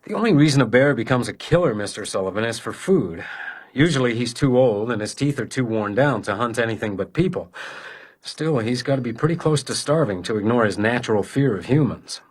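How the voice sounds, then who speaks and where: A weary house detective in a 1920s radio drama, with a middling male pitch. He is wry and understated, delivering his lines dryly. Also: lively intonation, brisk, husky. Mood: measured, sceptical.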